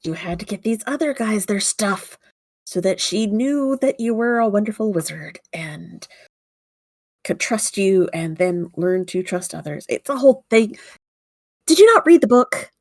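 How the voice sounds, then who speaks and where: A woman playing a bossy but caring good witch in a tabletop game, brisk and by turns scolding or reassuring. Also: medium pitch, animated, darkish tone, no echo, clean studio sound. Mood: bossy, exasperated.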